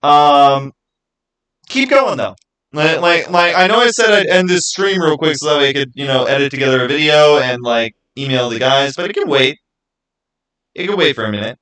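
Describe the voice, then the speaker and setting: A man's voice: on the high side, lively intonation, moderate pace, a bit bright, smooth, poor audio. A man hosting a livestream, chatting casually and answering viewers in a rambling, conversational way. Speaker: livestream host.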